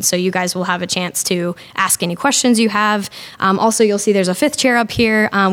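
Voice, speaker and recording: A young woman introducing speakers at a live event, upbeat and with many fillers. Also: medium pitch. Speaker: event host.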